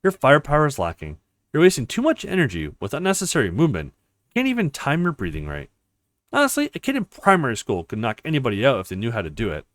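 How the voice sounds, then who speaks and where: A man's voice: medium pitch, wildly swooping pitch, quick pace, a bit bright, dry recording. Man voicing a cocky female mercenary in a game. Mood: taunting. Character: cocky, mercenary retired female fighter, taunting and haughty.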